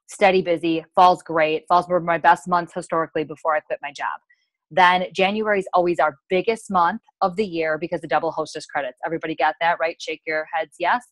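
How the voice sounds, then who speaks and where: An American woman with a slightly low voice coaches her team on a casual call. She is enthusiastic and chatty, often wandering into asides. The sound is dry, with little room in it. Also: dry recording, poor audio. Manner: enthusiastic, peppy, rambling with asides. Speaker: sales team leader hosting a coaching call.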